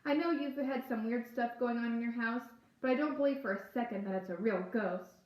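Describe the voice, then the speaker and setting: A woman's voice: on the high side, fairly quick, darkish tone, natural voice. A woman voicing a worried, skeptical schoolboy in a story read aloud, tense but reasoning. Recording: echoey room.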